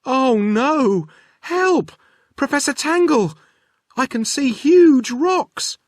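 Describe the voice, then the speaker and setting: A man's voice: fairly high pitch, very animated, measured pace, no room sound, clean studio sound, voice pushed up. A man voicing an alarmed young girl in a storybook read-aloud, calling out urgently.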